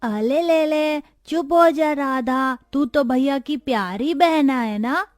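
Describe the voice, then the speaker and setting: Woman voicing a high, earnest motherless child. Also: slow pace, no room sound, clean studio sound. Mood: protective. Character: poor motherless child, grieving yet dutiful, in a cartoon. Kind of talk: acted dialogue for a cartoon story.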